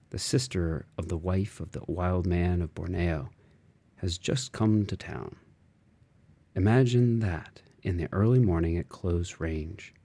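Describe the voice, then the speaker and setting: A man reads literary fiction in a low, relaxed voice. His delivery is unhurried and musing, moving through fragmented thoughts with expressive shifts. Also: husky. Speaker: audiobook reader. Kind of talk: literary audiobook narration of interior monologue.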